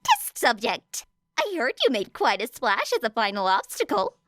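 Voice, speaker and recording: A woman voicing an excitable, boastful teenage girl inventor in an audiobook, exuberant and dramatic. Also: fairly high pitch, moderate pace, dry recording, pitched above the performer's own voice. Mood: playful.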